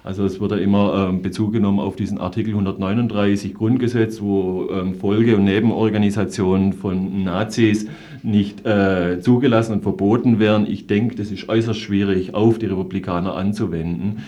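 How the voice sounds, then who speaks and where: A man speaks as a guest in a political discussion, in a low and very mellow, dark voice. He lays out his reasoning analytically, in measured, wordy sentences. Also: fairly even intonation. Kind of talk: political discussion, explanatory argument.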